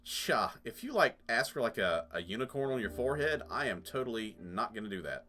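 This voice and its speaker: A man's voice: mid-range voice, sing-song, brisk, bright, forceful tone, pitched below the performer's own voice. A man voicing a sassy young punk woman from a video game, full of slacker slang and hesitations. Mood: teasing, blunt. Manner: filler-heavy slacker slang.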